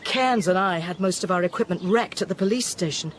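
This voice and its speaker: A woman's voice: lowish voice, a little fast, slight background noise, natural voice. A woman acting in a radio drama as a wry, pragmatic investigator who stays steadfast under threat. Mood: practical.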